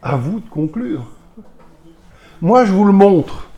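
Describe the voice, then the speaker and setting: A man's voice: sits high, sing-song, slow, warm tone, highs cut off. A man with a Belgian French accent, a pastor preaching to a congregation and pressing them with rhetorical questions. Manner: fires rhetorical questions at listeners, emphatic.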